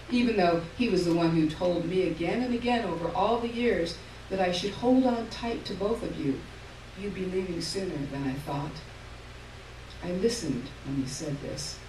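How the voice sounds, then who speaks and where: A woman reading a literary short story aloud at a live event, expressive, with emphasis on key words and pauses between short phrases. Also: medium pitch. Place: live stage reading in a room. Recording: roomy, distant mic, faint noise.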